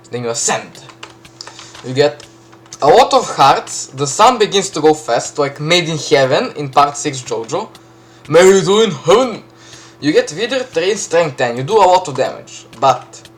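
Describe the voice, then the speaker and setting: A man with a Serbian accent casually walking through a game map he built, chatty, full of fillers and quick self-corrections. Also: fairly high pitch, fairly bright tone, slight background noise. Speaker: map creator giving a tour. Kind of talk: casual gameplay walkthrough.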